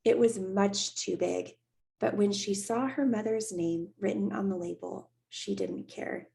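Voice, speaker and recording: Slow, gravelly woman reading a story aloud. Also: mid-range voice. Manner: steady, unhurried reading voice. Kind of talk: read-aloud storybook narration.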